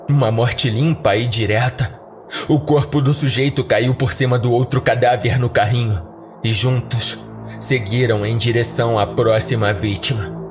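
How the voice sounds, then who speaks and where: Um homem com sotaque brasileiro narrando uma história de terror em primeira pessoa, de modo pausado e dramático. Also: male voice, mid-range voice. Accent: Brazilian. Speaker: horror podcast narrator. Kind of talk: scripted first-person horror narration.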